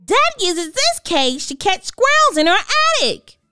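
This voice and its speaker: A bright, eager little girl pitched way up high, squealing as she talks, put on by a woman reading a storybook aloud. Her voice leaps all over the place, dry and close with faint music underneath. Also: sing-song, measured pace, dry recording, full character voice. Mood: excited. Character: excited young girl detective.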